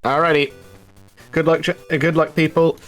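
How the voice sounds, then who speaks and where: A man's voice: on the high side, level tone, moderate pace, a bit bright, poor audio. Guy doing casual speedrun commentary, explains stuff in a wry chatty way, some music in the back.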